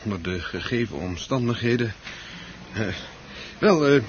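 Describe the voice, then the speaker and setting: A man's voice: fairly low pitch, expressive, measured pace. An actor playing an officious club chairman in a radio play, formally polite, hesitant and evasive, hemming his way through apologies. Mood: apologetic. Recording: dull, slightly noisy old radio recording.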